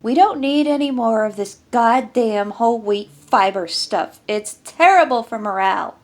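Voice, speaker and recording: A woman's voice: fairly high pitch, lively intonation, measured pace, pitched above the performer's own voice. A woman voicing cheeky, griping military squad members in an audiobook story, with a wisecracking manner. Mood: wry, griping.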